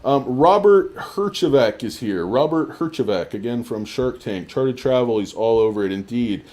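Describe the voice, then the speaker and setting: A man's voice: medium pitch, animated, moderate pace, darkish tone. A man hosting a stock livestream, ranting in a crude, insulting and sarcastic way, and sounding tired. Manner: sarcastic and mocking. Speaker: livestream host.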